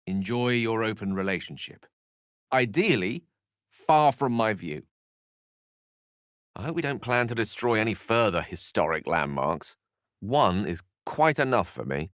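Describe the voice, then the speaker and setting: A bookish British wizard from a fantasy video game. His voice sits fairly low but moves with very lively intonation, in a close, dry recording. He is articulate and theatrical, with a wry, verbose turn of phrase. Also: measured pace, dry recording. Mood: wry, musing. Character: eloquent, wry, bookish male human wizard.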